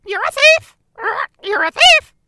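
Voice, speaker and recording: A woman's voice: very high-pitched, big pitch swings, takes it slowly, bright, forceful tone, no room sound, clean studio sound, full character voice. A woman voicing a squawky, shrieking pet parrot in a 1940s-style radio mystery. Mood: shrill, accusing. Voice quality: squawky, piercing.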